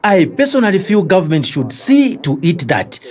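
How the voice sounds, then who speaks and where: An actor with a Zambian accent playing an opinionated, hearty city uncle in an audio drama. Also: male voice, high-pitched, very animated, moderate pace, a little mellow. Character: opinionated, hearty city uncle and husband who loves food.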